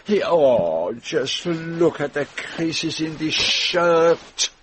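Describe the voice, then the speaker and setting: An actor playing a fastidious, shrewd foreign detective in a radio drama, with a French-speaking European accent and precise, formal phrasing. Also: male voice, a little high, very animated, fairly bright tone, exaggerated voice. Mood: fussy reproach. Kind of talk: classic radio drama acting. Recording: noisy old radio drama recording.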